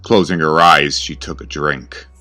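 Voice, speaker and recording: Male horror narrator, extremely low pitch; grave, ominous delivery. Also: fairly even intonation, a little slow, sharp tone, soft background music.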